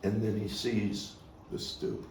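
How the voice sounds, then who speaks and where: A man talking through the stage directions of a play in a halting manner, as its playwright and actor. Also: fairly low pitch, little pitch movement, a little mellow. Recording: roomy, noisy, distant microphone.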